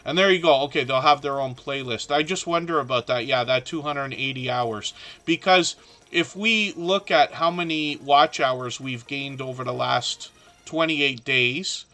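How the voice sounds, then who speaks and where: A man's voice: mid-range voice, normal speed, bright, forceful tone. A middle-aged man, hosting a live stream with a scratchy, worn voice and a chatty, encouraging manner full of ums. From southern Ontario, Canada. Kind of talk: casual live stream chat.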